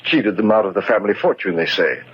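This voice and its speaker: A man playing an obliging, gossipy park superintendent in a 1940s radio mystery, with a husky voice and a folksy, deferential manner. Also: lowish voice, lively intonation, fast. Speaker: radio actor. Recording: muffled 1940s radio recording.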